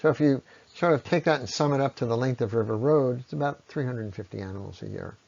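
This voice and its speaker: A man giving an explanatory science lecture in a measured way, pausing often and letting his phrases trail off at the ends. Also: mid-range voice, gravelly, darkish tone. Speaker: presenter on ecology. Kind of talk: explanatory lecture on environmental impact. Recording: dull, lo-fi voice recording.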